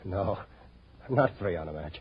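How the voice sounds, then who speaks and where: Solemn halting man, deep slow delivery, muffled vintage radio recording. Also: animated. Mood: grim, weary. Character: weary, solemn man waiting out grim minutes. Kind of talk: 1940s radio crime drama acting.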